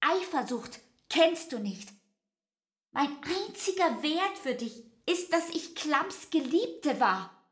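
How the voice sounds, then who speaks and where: An actress playing a bitter, wounded young barmaid in a radio play, speaking with drawn-out emphasis. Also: female voice, sits high, sing-song, exaggerated voice. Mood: bitter, emphatic. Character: young barmaid bitterly repeating accusations to her lover. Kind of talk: radio play acting over music.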